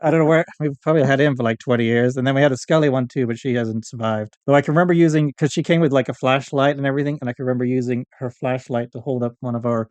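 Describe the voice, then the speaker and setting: A man's voice: medium pitch, steady tone, racing, few pauses, a little mellow, dry recording. A middle-aged man speaking as a podcast guest in a casual, rambling, reminiscing chat. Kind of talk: casual interview chat about fandom and collecting.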